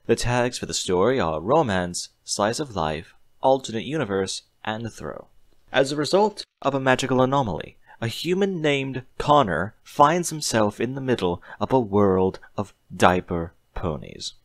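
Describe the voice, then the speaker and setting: A man's voice: medium pitch, lively intonation, normal speed, dry recording. A man reading a story aloud in a scripted audiobook narration style.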